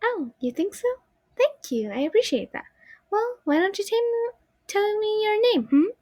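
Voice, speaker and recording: A young woman voicing a shy, gentle animatronic puppet girl with a soft, childlike voice, timid and pleading when frightened. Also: extremely high pitch, very animated, normal speed, no room sound, pitched above the performer's own voice. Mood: pleased.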